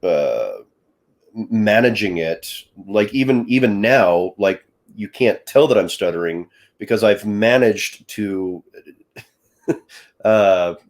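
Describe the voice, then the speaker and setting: A man's voice: fairly low pitch, expressive, slow pace. A man hosting a podcast who has a stutter. He is hesitant, repeats words, and sometimes breaks off with a light laugh. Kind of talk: conversational interview.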